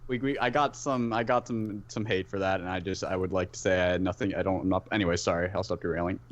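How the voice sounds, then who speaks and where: A man with a low-pitched voice talks casually as a guest on a podcast. He rambles in a hesitant, self-deprecating way. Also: steady tone, fast talker, highs cut off. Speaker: podcast guest, web series co-creator and voice actor. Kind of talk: casual chat.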